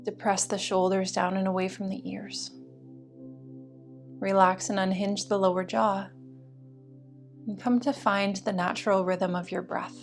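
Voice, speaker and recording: A woman yoga teacher guiding a meditation practice in a soothing, slow and deliberate way. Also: medium pitch, flat delivery. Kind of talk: guided meditation instruction. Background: music.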